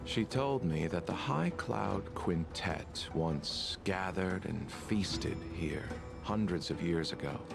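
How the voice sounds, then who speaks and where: An actor playing a composed, perceptive young man in a game, speaking slowly and deliberately. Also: low-pitched, gravelly, exaggerated voice. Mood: knowing. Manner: slow, deliberate, knowing. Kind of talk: game voice acting. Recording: loud game music behind.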